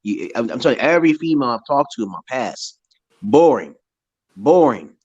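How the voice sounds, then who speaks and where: A man with a deep voice in casual, opinionated chat, talking in a loose, slangy way and laughing often. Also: big pitch swings, normal speed, darkish tone, slightly rough, dull audio. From United States. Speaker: conversation partner in an English chat.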